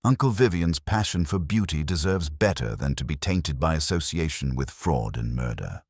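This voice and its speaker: A man's voice: a bass voice, animated, normal speed, breathy edge, no echo, studio quality, exaggerated voice. A man voicing a newly resolute young heir who talks in an earnest, formal way.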